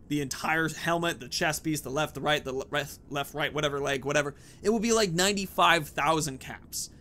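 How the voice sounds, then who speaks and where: A man's voice: a little high, fairly bright tone. A man giving casual, chatty let's play commentary and thinking aloud as he goes. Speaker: gaming host. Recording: faint game noise behind the voice.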